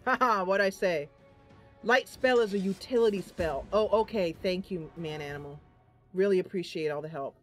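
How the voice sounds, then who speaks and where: A woman's voice: lowish voice, normal speed, faint music behind. A woman giving casual, chatty let's play commentary, thinking aloud and reacting as she plays. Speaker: gaming channel host.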